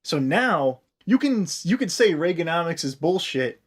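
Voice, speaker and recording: An American man co-hosting a comedy podcast, explaining history in casual, profane, animated chat. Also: a little high, moderate pace. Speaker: podcast co-host.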